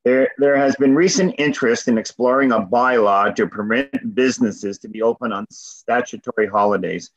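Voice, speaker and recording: A man's voice: medium pitch, normal speed, hardly any pauses. A man serving as deputy mayor, chairing a formal council meeting over a video call in a procedural manner. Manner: procedural, repeats "going once, going twice". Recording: muffled video call audio.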